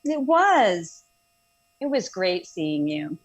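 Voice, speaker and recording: A woman's voice: lowish voice, sing-song, very slow, dull audio, exaggerated voice. A woman playing a playful, supportive young best friend in a radio drama, with an encouraging manner. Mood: playful, warm.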